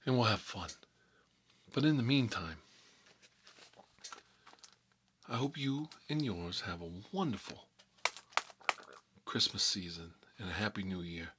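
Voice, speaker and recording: A man's voice: on the low side, animated, a little slow, long pauses, noisy background. A man playing a nostalgic, folksy mob boss, telling rambling stories in a casual ASMR style. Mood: friendly. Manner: rambling, folksy, frequent "you know". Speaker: host of a character story series.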